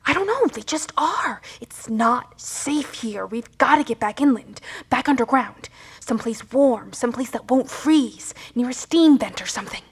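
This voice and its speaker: An actress playing a wary, resolute leader of fugitives in an audio drama, breathy, hushed and terse. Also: female voice, a little high, lively intonation, normal speed, exaggerated voice. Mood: urgent.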